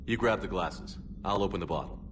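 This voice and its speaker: An actor playing a stern male starship commander in a video game cutscene, speaking in a low, clipped way. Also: a little fast, noisy background, natural voice. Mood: resolute.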